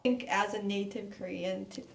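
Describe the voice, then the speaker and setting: A woman interviewer asking questions from off camera in a measured, careful way. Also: medium pitch, steady tone, gravelly, distant mic, slight background noise. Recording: voice from off-mic.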